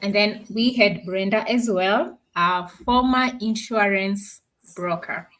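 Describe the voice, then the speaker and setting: A woman from Kenya on a webinar panel, speaking in a measured way with many pauses. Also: medium pitch, a bit bright, poor audio.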